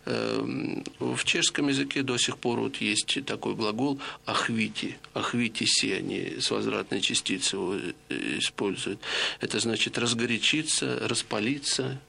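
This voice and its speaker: A middle-aged man with a low, heavily gravelly voice that still carries a forceful, bright edge. He lectures in a measured, explanatory way, often pausing on hesitations. A faint hiss sits under the voice. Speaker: lecturer on radio. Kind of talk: reflective spoken lecture to listeners.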